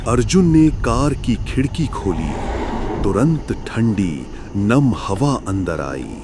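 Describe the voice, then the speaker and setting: A man narrating a scripted horror story in a suspenseful, deliberate, dramatic manner. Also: lowish voice, big pitch swings, background noise.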